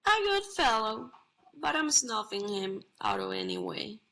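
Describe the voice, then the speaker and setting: A woman playing God as a blasé, boastful bathhouse attendant in a play reading, speaking in halting, self-satisfied phrases. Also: a little high, wildly swooping pitch, gravelly, bright, forceful tone, heavily acted, poor audio. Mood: nonchalant.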